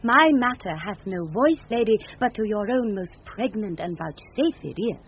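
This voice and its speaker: A British actress playing a young woman disguised as a page boy, husky, earnest, courtly and quick-witted, in a radio Shakespeare play. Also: medium pitch, lively intonation, a little hiss or hum, close to an everyday voice. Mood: courtly, witty. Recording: dull vintage radio recording.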